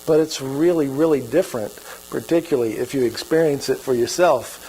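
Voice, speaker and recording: A man's voice: medium pitch, a little fast, dark tone, a little hiss or hum, low-quality recording. A man with an Oklahoma accent preaching a sermon as pastor, in a folksy, conversational way.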